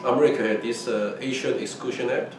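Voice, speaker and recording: Older man with halting, restarting speech. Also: medium pitch, fairly even intonation, over music. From Hong Kong. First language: Fujianese (Minnan). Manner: halting, many restarts and repeated words. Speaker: oral history interviewee. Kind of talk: casual recollection. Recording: echoey room, mic at a distance, faint noise.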